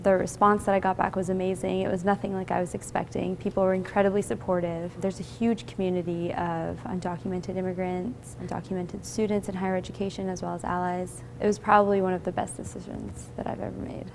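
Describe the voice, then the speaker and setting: A young woman from India, a university student giving a sincere, steady personal statement. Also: fairly low pitch, fast talker, creaky, hardly any pauses, slight background noise. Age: young adult.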